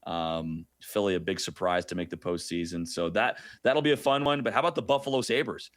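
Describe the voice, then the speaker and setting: Low-voiced man talking sports. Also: a little fast, fairly bright tone. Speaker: radio play-by-play announcer as guest analyst. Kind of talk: conversational sports analysis.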